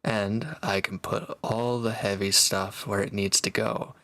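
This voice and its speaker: A man acts out a sardonic former villain in an intimate audio drama. His voice is fairly deep with a bright, forceful edge. He talks slowly and casually, teasing his listener with dry remarks. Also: level tone. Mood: calm, conceding. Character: sardonic, teasing reformed male villain recovering from wounds. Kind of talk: intimate roleplay audio drama, one-sided dialogue.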